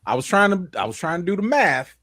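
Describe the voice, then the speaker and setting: A laid-back man co-hosts a livestream, chatting casually with plenty of slang. His pitch moves freely, and the recording is dry and close. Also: very animated, fairly quick, fairly bright tone, no room sound. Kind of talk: casual chat.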